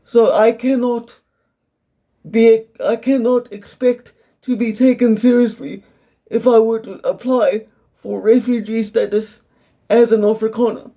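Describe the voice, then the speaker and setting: A young man speaks with a South African English accent in a personal video monologue. His voice sits high yet sounds dark and mellow. He talks slowly and earnestly, laying out his points with care. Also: steady tone. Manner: measured and earnest, carefully explaining. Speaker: vlogger.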